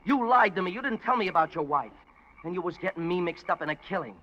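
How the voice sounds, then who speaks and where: Sharp-voiced, nervous ex-con informer. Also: male voice, sits high, lively intonation, fast talker, slight background noise. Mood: angry betrayed. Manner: uneducated slangy grammar, pleading, stammering. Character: nervous, evasive ex-convict informer, whiny and defensive. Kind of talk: 1950s radio detective drama acting. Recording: muffled vintage radio recording.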